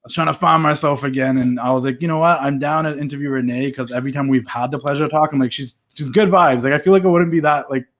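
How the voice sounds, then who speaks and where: A man's voice: mid-range voice, fairly even intonation, fairly bright tone. A man with a West Coast Canadian accent hosting a casual interview podcast, rambling and restarting his sentences. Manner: quick, rambling, restarts a lot.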